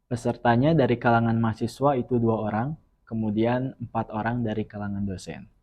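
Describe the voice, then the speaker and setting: Young man, earnest and measured. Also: medium pitch, steady tone, darkish tone. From West Java, Indonesia. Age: young adult. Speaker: student guest. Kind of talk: podcast interview.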